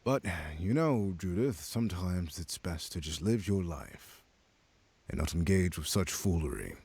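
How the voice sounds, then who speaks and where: Deep, husky crime boss. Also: male voice, big pitch swings, a little mellow, heavily acted. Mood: condescending. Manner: condescending, measured, cryptic. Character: sinister, smug crime boss abducting people for talks. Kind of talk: scripted video game voice acting.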